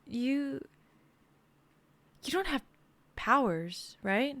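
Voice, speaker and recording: A woman's voice: high-pitched, big pitch swings, extremely slow, a bit bright, no echo, full character voice. A young woman playing a protective, worried store worker in a dramatic script reading. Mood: concerned. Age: young adult.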